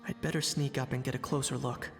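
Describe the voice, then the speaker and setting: A man playing a composed, observant investigator in a video game, narrating in a measured, analytical way. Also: medium pitch, flat delivery, big-room sound, faint music behind, lightly acted. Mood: curious, suspicious.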